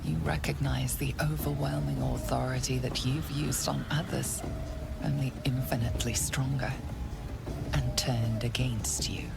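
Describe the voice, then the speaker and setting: A low, gravelly narrator who speaks in second person, close and ominous. Her voice drops to a near whisper over steady background music. The tone is grave and intimate. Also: unhurried, heavily acted. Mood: hushed ominous. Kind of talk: scripted game narration. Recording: music playing under the voice.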